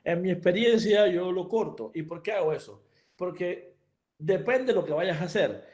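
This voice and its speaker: A middle-aged man from Cuba giving a surgery lecture to colleagues, his voice sitting a little high for a man. He explains each step in a practical, instructive way. He often restarts a sentence and slips in English terms. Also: expressive, moderate pace, darkish tone.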